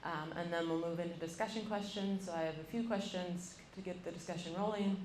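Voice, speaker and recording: A woman's voice: fairly low pitch, steady tone, fairly quick, darkish tone, slight background noise. A young woman moderating a university panel, introducing each speaker with frequent ums. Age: young adult. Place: lecture room. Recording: roomy, mic from a distance.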